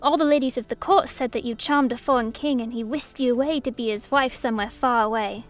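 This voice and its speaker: Young girl princess, acted by a woman: high light pitch, candid and curious, fantasy audio drama. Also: steady tone, a little fast, soft background music, close to an everyday voice. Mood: curious, surprised.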